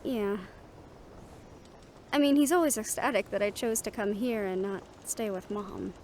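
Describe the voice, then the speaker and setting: A woman playing a supportive, excitable young woman in a fantasy audio roleplay, with an expressive, conversational delivery. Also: medium pitch, unhurried, natural voice. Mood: wry. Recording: faint ambient vehicle noise behind.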